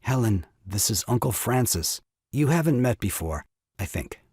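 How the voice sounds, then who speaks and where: An actor playing an excitable, scheming young father and ingratiating nephew in an audio farce, flustered and wheedling. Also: medium pitch, normal speed, breathy edge, no room sound, clean studio sound. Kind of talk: stage farce audio drama acting.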